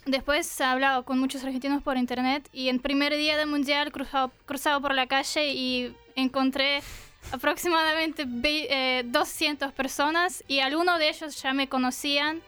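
High-voiced young Russian woman, hesitant. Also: steady tone, moderate pace, bright, forceful tone, faint music behind. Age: 20s. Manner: hesitant, searching for words with many fillers. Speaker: guest. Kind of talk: interview answers. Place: radio studio. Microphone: studio microphone.

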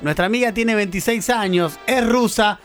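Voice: mid-range voice, normal speed, fairly bright tone, soft background music. A radio host with a Rioplatense accent, interviewing a guest in a studio in a chatty way and laughing often. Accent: Rioplatense Spanish.

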